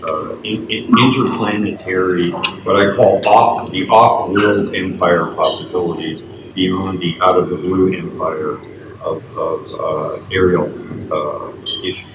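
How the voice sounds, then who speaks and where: An older man speaking as a panelist, rambling and halting with many fillers. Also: low-pitched. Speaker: conference panelist. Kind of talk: academic panel discussion. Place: outdoor conference terrace. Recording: distant echoey mic with background noise.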